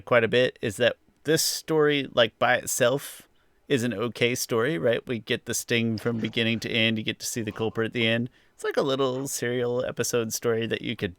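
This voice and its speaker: A man's voice: medium pitch, a bit bright, clear, resonant tone, no echo. A man hosting a podcast, chatting casually through a review in an informal, self-deprecating way and thinking aloud. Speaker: podcast host.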